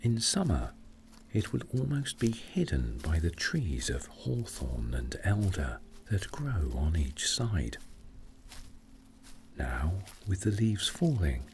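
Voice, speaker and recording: A man narrating a scripted sleep meditation story in a soothing, gentle, unhurried way, with breathy phrase endings. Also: sits low, sing-song, slight background noise.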